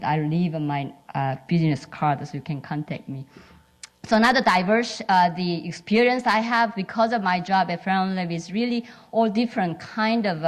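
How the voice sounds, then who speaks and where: A middle-aged woman from South Korea with a Korean accent gives an informal conference talk in halting, non-fluent English with dropped articles. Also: on the low side. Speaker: scientist speaking at a symposium.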